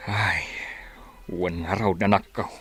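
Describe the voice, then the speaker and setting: A man playing a patient, gentle, resigned village father in a radio drama. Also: low-pitched, steady tone, slow pace, soft background music. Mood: weary patience.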